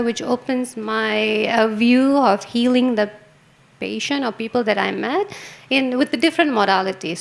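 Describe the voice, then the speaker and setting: Lady on a panel, high voice but gravelly, uhs here and there. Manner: fillers, loose grammar. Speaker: panel guest, integrative medicine practitioner. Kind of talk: panel introduction.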